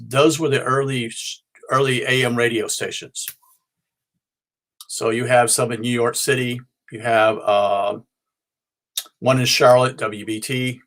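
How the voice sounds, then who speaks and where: Halting American man explaining informally. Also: medium pitch, fairly bright tone. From North Carolina, United States. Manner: halting, rambling, self-correcting. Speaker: ham and shortwave radio hobbyist presenting his show.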